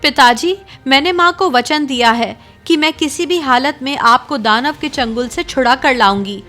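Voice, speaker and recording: A woman's voice: sits high, normal speed, pushed and bright, soft background music, pitched above the performer's own voice. A woman voicing a brave, determined young princess in a cartoon story, speaking firmly and earnestly. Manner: firm, earnest pleading.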